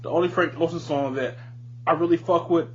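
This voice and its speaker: A man's voice: a little high, steady tone, fairly quick. A man hosting a podcast, chatting casually and bluntly about music with plenty of swearing. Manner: informal, profane, opinionated. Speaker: podcast host. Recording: muffled podcast audio with light hum.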